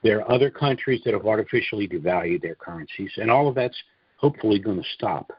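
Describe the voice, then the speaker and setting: A man hosting a conference call, delivering an informal monologue briefing in deliberate phrases with emphatic pauses and a husky voice. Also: on the low side, expressive, no room sound. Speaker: conference call host and presenter. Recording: dull, lo-fi conference call audio.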